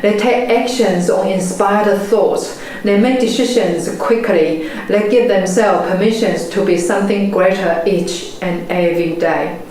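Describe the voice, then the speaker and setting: Woman with a chinese accent, 40s, earnest slow-ish mentor talk, really echoey room, faint hiss behind. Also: medium pitch, darkish tone. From China. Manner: measured, earnest storytelling delivery. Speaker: property investment mentor. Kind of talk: motivational webinar talk.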